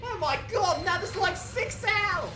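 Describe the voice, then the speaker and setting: A man's voice: very high-pitched, expressive, measured pace. A man voicing a cocky, smart-mouthed young male anime hero in a fan parody dub, brash and sarcastic. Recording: roomy, music behind the dialogue.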